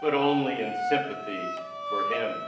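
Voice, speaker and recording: A man's voice: mid-range voice, normal speed, distant mic, loud music behind the voice, natural voice. An older man playing a melancholy writer recalling a lonely childhood in a theatrical staged reading on a large stage. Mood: melancholy recollection. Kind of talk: theatrical literary narration. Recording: echoey theater stage, miked from afar.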